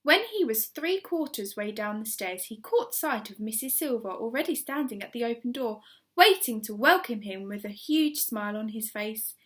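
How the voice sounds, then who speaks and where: A woman reading a children's story aloud like a primary school teacher, with expressive narration. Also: fairly high pitch, normal speed.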